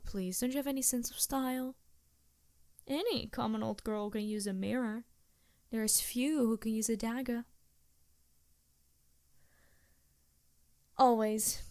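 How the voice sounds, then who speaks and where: A woman's voice: fairly high pitch, darkish tone, no echo. A woman playing a vain, seductive succubus demon in an ASMR roleplay, languid, teasing and theatrical. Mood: smug, playful. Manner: languid, flirtatious, theatrical, sighing. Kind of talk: ASMR roleplay, improvised character acting.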